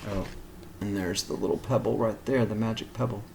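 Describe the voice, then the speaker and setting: A man reading a picture book aloud to young children as a teacher, pausing for simple explanatory asides. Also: fairly low pitch, steady tone, vocal fry, darkish tone, slight background noise, low-quality recording.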